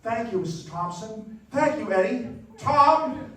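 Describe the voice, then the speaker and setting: A man's voice: very high-pitched, animated, unhurried, pushed and bright, full character voice. A man playing an overjoyed, stammering family man in a live stage radio play. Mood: overwhelmed, grateful. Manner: exuberant, stammering, exclaiming. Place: live performance in a dance hall. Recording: distant, echoey dance hall with audience.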